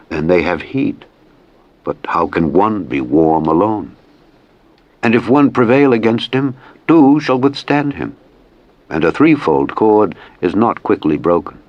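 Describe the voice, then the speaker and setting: Deep male voice, solemn Bible narration, expressive rise and fall, declamatory, slightly muffled recording. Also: no echo. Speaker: scripture narrator. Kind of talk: solemn scripted Bible reading.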